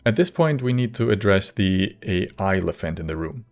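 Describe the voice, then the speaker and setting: A man reading scripted video essay narration in a measured, wry manner. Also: sits low, expressive, faint music behind.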